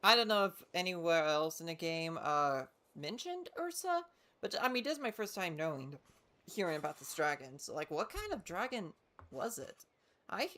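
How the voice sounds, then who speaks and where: Bright-voiced woman streaming a game. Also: mid-range voice. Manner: thinking aloud, hesitant. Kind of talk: casual livestream game commentary.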